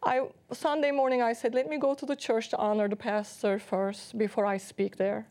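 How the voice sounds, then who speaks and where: A woman with a heavy Turkish accent tells her personal story as a talk show guest. Her voice sits fairly high, and her telling is earnest and often broken by laughter. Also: flat delivery, moderate pace, a little mellow.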